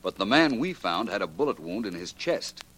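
An actor playing a composed, analytical detective in a 1940s radio drama, with measured, assured delivery. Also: male voice, lowish voice, sing-song, a bit bright. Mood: assured explaining. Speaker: lead actor in a detective series. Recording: noisy old radio recording with hum.

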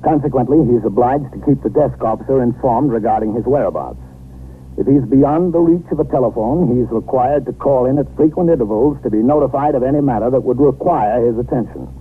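A man's voice: mid-range voice, a little fast, natural voice. Tinny old-radio American police captain, acted by a man. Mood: measured, matter-of-fact. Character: businesslike male police precinct captain, steady and dutiful. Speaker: narrator and lead actor. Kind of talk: 1950s radio police drama acting, scripted narration. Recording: narrow-band, noisy 1950s radio recording.

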